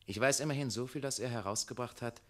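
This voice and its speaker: An actor playing a mayor's son and secretary in a German radio play, measured, coaxing and insistent in his questioning. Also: mid-range voice, lightly acted. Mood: scornful, probing.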